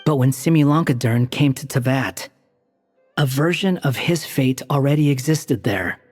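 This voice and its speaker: A very low, husky-voiced woman plays a wry, knowing character in a fantasy game. Her lines carry a long reverb tail, with faint music underneath. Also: normal speed, darkish tone. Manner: dry, teasing, matter-of-fact. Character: sly, self-assured woman, wry and knowing.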